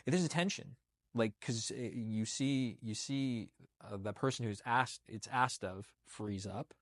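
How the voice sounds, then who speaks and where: A man's voice: mid-range voice, measured pace, no room sound, clean studio sound. A man who once worked in casting, reflecting thoughtfully and searching for words. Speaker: former casting professional. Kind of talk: interview commentary.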